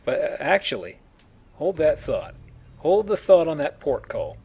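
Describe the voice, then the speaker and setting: A man's voice: fairly high pitch, sing-song, unhurried, a little mellow, slightly rough. A man hosting a casual Let's Play, explaining the game and joking as he goes. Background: video game sounds.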